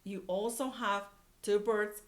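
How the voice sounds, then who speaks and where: A woman's voice: mid-range voice, fairly even intonation, takes it slowly, a little hiss or hum, close to an everyday voice. A woman voicing an upbeat, helpful little pig from a children's storybook, speaking eagerly.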